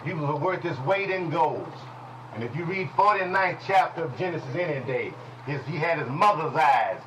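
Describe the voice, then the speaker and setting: Man, preaching teacher, fairly high voice, faint hiss. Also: lively intonation, moderate pace, a bit bright, rough recording. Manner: folksy call-and-response preaching, drops to low murmurs. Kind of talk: preaching Bible lesson. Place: congregation hall.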